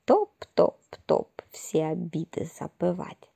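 A woman storyteller puts on an old storybook witch of the forest who stomps through a song and lectures her unruly guests. The voice is gravelly and husky, unhurried, on a warm, dark tone. It is recorded outdoors in a garden on a camera at a distance. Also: on the low side, huge pitch swings, exaggerated voice. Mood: singing merrily. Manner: sings a stomping song, then scolds sternly. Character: old female forest witch, cheerful then strict.

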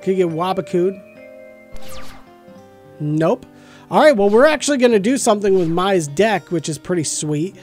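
A man's voice: sits high, animated, normal speed, long pauses, a little mellow, faint music behind. A middle-aged American man giving casual, close-miked commentary on a card game as the host. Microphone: close desk microphone.

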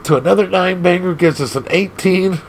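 A man casually commenting on an arcade game as he plays, excitable and laughing often, leaping from squeaky highs to low growls. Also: brisk, a little hiss or hum, poor audio. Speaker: gaming channel host.

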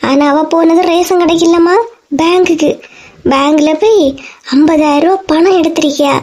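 A woman's voice: very high voice, fairly even intonation, normal speed, darkish tone. A woman voicing an aggrieved, gossiping mother-in-law in a comic cartoon, complaining dramatically with a Kanyakumari Tamil accent.

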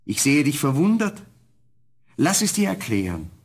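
An actor playing a noble, tender young prince in a fairy-tale audio play, with a solemn, courtly manner and a husky voice. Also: male voice, on the high side, sing-song, unhurried, full character voice. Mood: solemn, happy.

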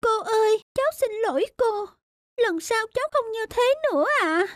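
A woman voicing a gentle, timid, dutiful little girl in a children's cartoon, polite and meek. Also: very high-pitched, moderate pace. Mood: apologetic. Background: children's background music.

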